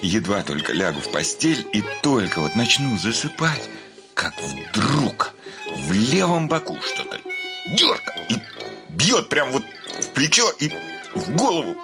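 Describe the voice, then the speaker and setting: A man's voice: mid-range voice, wildly swooping pitch, measured pace, over music. An actor playing a nervous, fretful bachelor in his thirties in a radio play, hesitant, stammering and over-polite. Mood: anxious, fretting. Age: 30s. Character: nervous, fretful thirty-five-year-old bachelor suitor, hypochondriac. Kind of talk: theatrical radio play acting.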